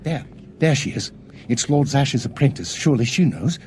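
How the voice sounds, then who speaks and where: An actor playing a haughty, anxious colonel in a video game, pompous and insistent. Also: male voice, mid-range voice, big pitch swings, normal speed, a little mellow, a little hiss or hum. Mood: urgent, pressing. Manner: pompous, pressing.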